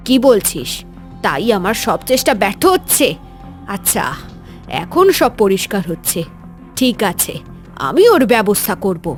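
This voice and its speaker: A woman playing a scheming, cold stepmother in an animated horror tale, calculating and insinuating. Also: mid-range voice, measured pace, long pauses, soft background music. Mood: vengeful. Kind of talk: Bengali animated story voice acting.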